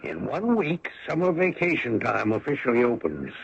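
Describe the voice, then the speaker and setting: An actor playing a hard-nosed, insistent American small-town official in an old radio drama, emphatic and persuasive. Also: male voice, mid-range voice, very animated, normal speed, creaky, slightly rough, full character voice. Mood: pressing. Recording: dull vintage radio broadcast recording.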